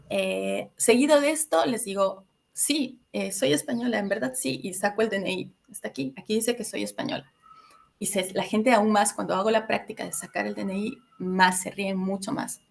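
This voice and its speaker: A woman from Ecuador in her thirties addresses a group in a reflective workshop talk. Her mid-pitched voice has a gravelly, fry-tinged texture. She often pauses with small fillers as she searches for words. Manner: reflective, many filler hesitations. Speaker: workshop facilitator.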